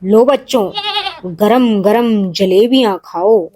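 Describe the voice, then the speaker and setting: A woman's voice: mid-range voice, slow pace, warm tone. A woman voicing a sly male wolf villain in a children's story, coaxing with false friendliness.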